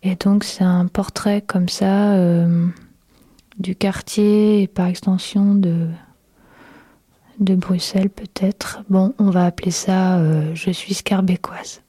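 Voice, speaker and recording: Lady radio host, slow easy chat, dry close studio sound. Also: mid-range voice, husky, no room sound. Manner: relaxed, hesitant with many fillers.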